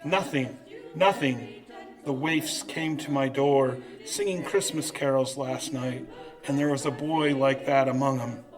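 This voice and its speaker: Man acting a halting, slow-spoken old miser. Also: medium pitch, fairly even intonation, natural voice, poor audio. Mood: sorrowful, regretful. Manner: stammering, halting, exclaiming. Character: anxious old miser man, fearful, wistful, remorseful. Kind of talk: 1940s-style radio drama acting.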